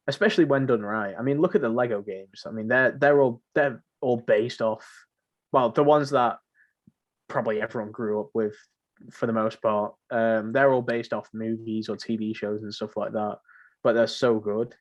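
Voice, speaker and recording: A young man co-hosting a podcast in casual chat, speaking haltingly and often repeating his phrases. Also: lowish voice, lo-fi sound. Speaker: podcast co-host.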